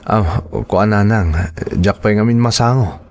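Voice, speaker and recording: An actor playing a soft-hearted married man devoted to his lover, hesitant and placating, in an audio drama. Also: lowish voice, normal speed, lightly acted. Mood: hesitant, evasive.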